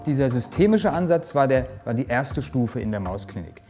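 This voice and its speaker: Earnest man explaining research, mellow voice. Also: mid-range voice, animated, normal speed, soft background music. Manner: earnest, explanatory, with fillers and restarts. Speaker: research director. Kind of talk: interview statement. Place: office with microscope, indoors. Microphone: lapel mic.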